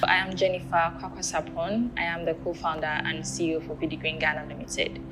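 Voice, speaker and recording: Woman founder, deep, monotone, music bed. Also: brisk, low-quality recording. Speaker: company founder. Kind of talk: introduction pitch.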